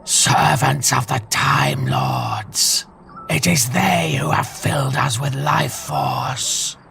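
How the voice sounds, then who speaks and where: A man voicing an eerie living scarecrow in a comic dub, slow, formal and menacing, with a hissing, breathy voice. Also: medium pitch, animated, sharp tone, soft background music, voice pushed up, full character voice. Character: eerie living scarecrows serving alien masters.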